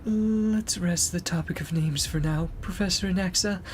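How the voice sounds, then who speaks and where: A man's voice: high voice, normal speed, slight background noise, pitched above the performer's own voice, close to an everyday voice. A man voicing a cheerful, teasing young female teaching assistant from a game, in a light and breezy way.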